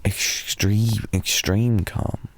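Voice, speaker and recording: A British man softly rambling in an improvised ASMR boyfriend roleplay, telling a drowsy, meandering story to lull a listener to sleep. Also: fairly low pitch, fairly even intonation, gravelly. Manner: soft, slow, drowsy storytelling.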